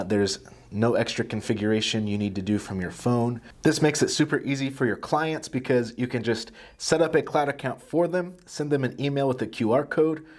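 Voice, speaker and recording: A man walks through a product demonstration in an easygoing, step-by-step style. His voice sits at a medium pitch, and he explains each feature in plain, practical terms. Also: darkish tone. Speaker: tutorial presenter.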